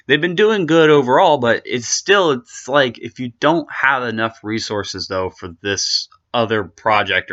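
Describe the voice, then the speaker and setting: A man's voice: medium pitch, pushed and bright, lo-fi sound. A man hosting an anime commentary video, giving his opinion in a rambling, casual way full of restarts and asides.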